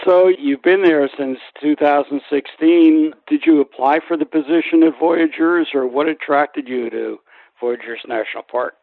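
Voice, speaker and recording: A man's voice: fairly high pitch, moderate pace, vocal fry, dry recording, narrow, tinny audio. A man hosting a radio interview program, introducing and questioning a guest.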